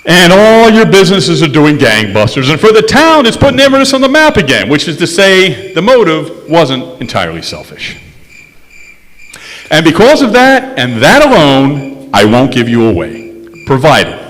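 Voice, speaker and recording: A man playing a confident, wry detective in an old-time radio drama on stage, speaking deliberately and emphatically. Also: a little high, wildly swooping pitch, fairly bright tone. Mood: emphatic, knowing. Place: stage in a large reverberant hall. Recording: echoey hall stage recording with music.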